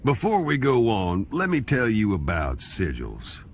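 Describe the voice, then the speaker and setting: A man's voice: on the low side, huge pitch swings, measured pace, a little hiss or hum, heavily acted. A man playing a wry, folksy demonic narrator, telling an infernal legend for a video game. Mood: wry, amused. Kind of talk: scripted storytelling narration. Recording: loud music behind the voice. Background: loud music.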